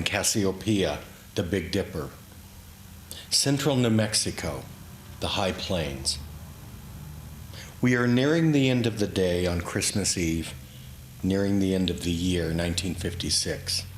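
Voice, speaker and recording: A man giving a dramatic literary reading of his own memoir, in deliberate, measured short phrases. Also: sits low, slight background noise. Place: room in a historic house, live event. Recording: echoey room, live reading.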